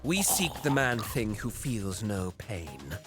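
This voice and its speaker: A man's voice: fairly low pitch, very animated, slow, music in the background. An actor playing a cruel, haughty alien raider prince who narrates his deeds with cold disdain in an audio drama. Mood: coldly savouring. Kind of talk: first-person dramatic narration.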